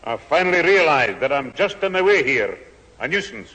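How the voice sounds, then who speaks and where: An actor playing a stubborn, self-pitying old grandfather in a 1970s radio sitcom, with a husky, wounded delivery. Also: a little high, big pitch swings, normal speed, pushed and bright, heavily acted. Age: older. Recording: echoey old radio hall recording.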